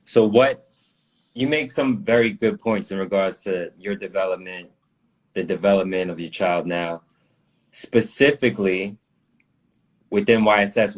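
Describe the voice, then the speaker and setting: A man's voice: deep voice, low-quality recording. A man hosting an interview, prompting his guest with friendly, encouraging questions. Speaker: interview host, school staff.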